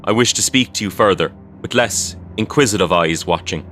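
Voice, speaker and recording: A man's voice: sits low, brisk, fairly bright tone, soft background music. A man voicing a dutiful, burdened prince in a fantasy audio story, with solemn and formal speech. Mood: commanding, guarded. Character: dutiful, burdened warrior prince, deposed king's son.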